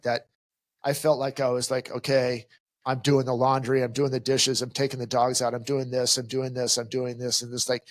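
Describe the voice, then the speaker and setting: A man's voice: medium pitch, dry recording. Emphatic middle-aged man, dry sound. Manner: emphatic, acts out quoted inner voices. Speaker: podcast co-host. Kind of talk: casual advice chat.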